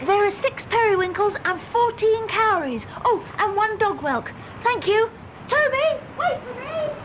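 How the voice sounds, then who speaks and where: Small English child, extremely high voice, earnest, polite, radio play, clear background noise. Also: female voice, big pitch swings, a little slow, heavily acted, poor audio. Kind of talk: stage-play radio drama acting.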